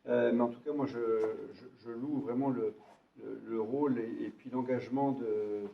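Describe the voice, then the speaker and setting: Jovial mayor in an echoey hall. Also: male voice, medium pitch, fairly even intonation, moderate pace, gravelly. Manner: hesitant, repeats words, jovial. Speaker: mayor hosting a town meeting. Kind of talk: speech introducing volunteers. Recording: echoey room, distant from mic, dull sound.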